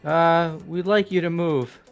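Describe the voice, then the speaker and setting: A blunt, shameless young goofball in a visual novel game, voiced by a man. He talks in a fairly high, blustering voice over background music. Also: fairly even intonation, measured pace, gravelly, clean tone, dull audio. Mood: bemused, pushy.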